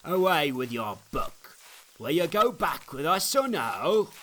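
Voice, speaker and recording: A man's voice: on the high side, very animated, slow pace, a bit bright, a little hiss or hum, pitched above the performer's own voice, full character voice. Man acting a scoffing, stubborn neighbour. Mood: scornful. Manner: dismissive, scoffing. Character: Obstinate, scornful stubborn male neighbour. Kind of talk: dramatic reading of an old allegory.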